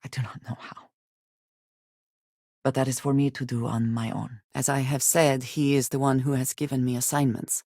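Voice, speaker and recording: An actress playing a guarded, formal woman spacefarer in a video game, loyal but wary and dry, with measured phrasing and few contractions. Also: very deep voice, level tone, warm tone, dry recording. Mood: grave. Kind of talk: video game voice acting, scripted dialogue.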